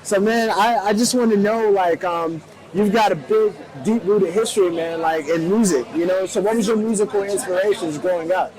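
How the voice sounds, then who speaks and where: A man hosting a relaxed interview in a shisha lounge, talking in laid-back slang and peppering his lines with "man" and "definitely.". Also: high voice, moderate pace, hardly any pauses, crowd noise, poor audio. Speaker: host. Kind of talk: casual hip hop interview.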